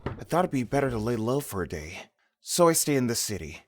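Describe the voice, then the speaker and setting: An actor playing a casual, awkwardly caring comrade in an audio drama, speaking in a hesitant, low-key manner. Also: very animated, a little fast, slight background noise, lightly acted. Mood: casual, concerned.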